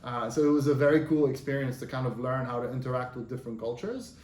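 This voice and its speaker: A man's voice: medium pitch, fast talker, a little mellow. A man in his thirties, from Lebanon with Arabic as his first language, telling his career story as an interview guest in a loose, conversational way with many fillers and rephrasings. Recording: roomy echo.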